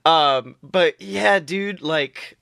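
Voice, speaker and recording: A young adult podcast co-host chatting casually about fandom in an animated, exaggerated and joking way. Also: medium pitch, very slow, gravelly, smooth, no room sound, clean studio sound. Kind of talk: casual fan podcast chat.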